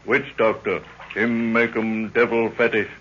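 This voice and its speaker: An actor playing a loyal, good-natured African servant who speaks broken pidgin English in an old radio drama. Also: male voice, lowish voice, little pitch movement, unhurried, fairly bright tone, slight background noise. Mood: simple, earnest. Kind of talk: 1930s radio acting. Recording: muffled old 1930s radio recording.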